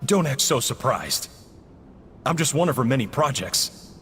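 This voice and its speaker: A man's voice: medium pitch, sing-song, measured pace, a bit bright, faint music behind. A man playing a smug, sardonic enemy agent in a video game, battered but defiant, with a theatrical mocking delivery. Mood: mocking amusement.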